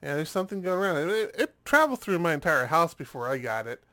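A man's voice sits a touch high for him. He is stuffed up from a recent cold, and he talks in a loose, rambling way, stumbling over repeated words as he co-hosts a casual chat show. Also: sing-song, fast, bright, forceful tone. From United States. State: congested, getting over a cold. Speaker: podcast co-host.

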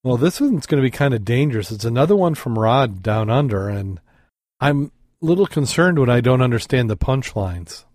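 Male podcast host, casual, chuckles, medium pitch, dry mic. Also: lively intonation, normal speed, a little mellow, dry recording. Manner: easygoing, chuckles, self-doubting. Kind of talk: casual chat, reading a joke aloud.

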